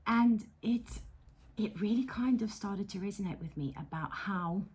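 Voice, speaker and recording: A middle-aged South African woman hosting a casual vlog, chatty and self-deprecating, dropping into soft whispery asides. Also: mid-range voice, measured pace, husky. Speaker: psychotherapist and channel host. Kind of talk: casual talking-to-camera vlog. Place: her counselling room.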